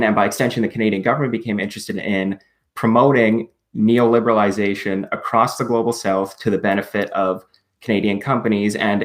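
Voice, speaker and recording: A man speaking as a podcast guest, explaining policy history in a measured way, often hedging and restarting with fillers. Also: on the low side. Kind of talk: explaining politics in a podcast conversation.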